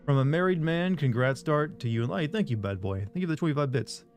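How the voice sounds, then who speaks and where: A man's voice: fairly high pitch, animated, fast talker, soft background music. A man streaming a game, chatting casually and reading out viewer messages, rambling and making self-deprecating jokes.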